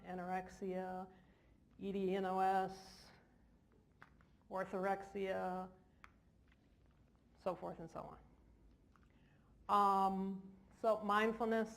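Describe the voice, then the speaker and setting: A woman clinician giving an informal lecture in a large hall, with jokey, self-deprecating asides. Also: medium pitch. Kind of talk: informal lecture to a live audience.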